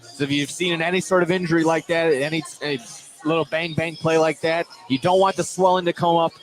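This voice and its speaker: A man doing live play-by-play commentary of a high school basketball game from inside a gym. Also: steady tone, brisk, faint music behind. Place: indoor gymnasium commentary position.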